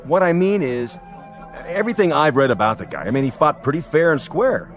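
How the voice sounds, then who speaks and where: A man's voice: on the high side, sing-song, brisk. A principled supporting actor in a radio drama. His mid-range voice moves animatedly, hesitant yet stubborn, over faint music. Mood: doubtful, polite. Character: earnest, principled male bit-part western actor.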